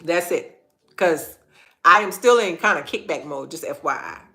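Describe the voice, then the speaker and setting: A woman's voice: sits low, animated, normal speed, sharp tone. A woman hosting an inspirational faith-based livestream, earnest and repeating phrases for emphasis, her nose stuffy and congested. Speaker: host of a live online talk.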